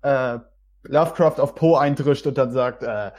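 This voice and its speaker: A man's voice: medium pitch, unhurried, darkish tone. A man talking in a casual group podcast chat.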